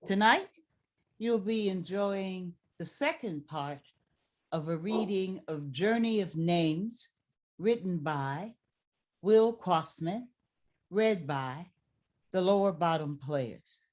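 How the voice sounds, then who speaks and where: Very slow, deliberate woman announcer. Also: fairly low pitch, expressive. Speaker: announcer and stage-directions reader. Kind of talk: formal introduction and scripted narration.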